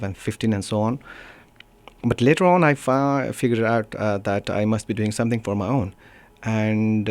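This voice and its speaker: Low-voiced Nepali man, halting and casual. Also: a little mellow, no echo. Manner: halting, searching for words, frequent "you know". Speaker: musician being interviewed, guitarist. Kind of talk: casual radio interview. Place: radio studio.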